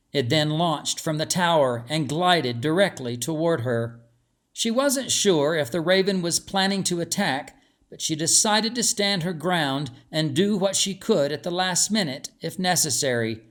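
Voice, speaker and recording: A man reading as an author and narrator, telling a story in an expressive scripted narration. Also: a little high, measured pace.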